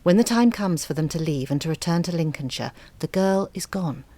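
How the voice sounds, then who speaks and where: A woman playing a thoughtful, candid writer in an audio drama, speaking in an intimate, reflective and expressive monologue. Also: lowish voice, a little fast. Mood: storytelling.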